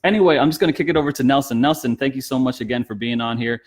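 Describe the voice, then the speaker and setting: A man's voice: mid-range voice, rapid-fire. A man hosting an interview podcast in a casual, chatty conversational style.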